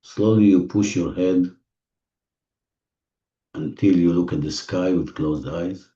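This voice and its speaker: A man leading a guided visualization in non-native English phrasing, giving gentle, repetitive instructions with deliberate pauses, recorded muffled and distant in an echoey room. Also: deep voice. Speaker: guided meditation leader. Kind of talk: guided visualization, spoken slowly to a listener.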